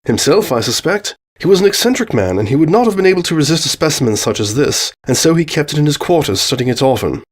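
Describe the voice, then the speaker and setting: A man's voice: a little high, big pitch swings, fast talker, few pauses, pitched above the performer's own voice. A man voicing a self-assured, theatrical detective with formal, declamatory delivery in a narrated story.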